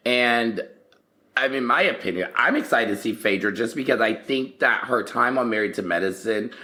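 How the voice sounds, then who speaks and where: A man's voice: medium pitch, animated, moderate pace, bright, forceful tone. A man co-hosting a podcast, chatting casually in a slangy way as he recaps reality TV with his co-host.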